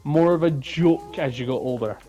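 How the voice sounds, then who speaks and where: A man's voice: fairly high pitch, normal speed, warm tone, highs cut off, soft background music. A young adult man chatting casually as a podcast co-host. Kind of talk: casual chat.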